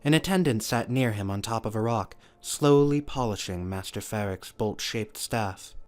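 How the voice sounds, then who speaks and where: Male narrator, lower register, scripted sci-fi audio play, music underneath. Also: normal speed, a little mellow.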